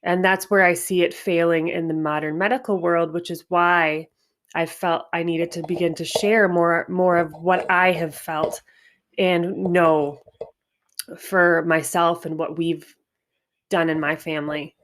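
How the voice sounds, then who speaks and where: A stuffy-nosed woman hosting a spiritual wellness podcast, talking in a reflective, unhurried way with frequent restarts. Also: on the low side, little pitch movement. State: stuffy nose. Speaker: podcast host.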